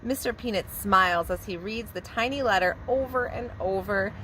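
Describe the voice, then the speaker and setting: A woman preschool teacher reading a picture book aloud to young children in a warm, expressive storytelling manner. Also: medium pitch, moderate pace, noisy background.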